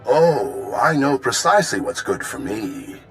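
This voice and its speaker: A man's voice: mid-range voice, big pitch swings, a little slow, soft background music, poor audio. A man voicing a smug, gloating bounty hunter villain in a video game, theatrical and articulate.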